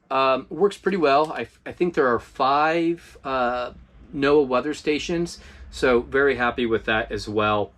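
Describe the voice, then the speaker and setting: A man talks through a gadget review in a loose, easygoing way, full of ums and uhs. His voice sits in a middle register, and he sounds like he is chatting to his viewers from inside an RV. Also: medium pitch. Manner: relaxed and chatty, frequent fillers. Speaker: host of a preparedness review channel. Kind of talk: casual product review.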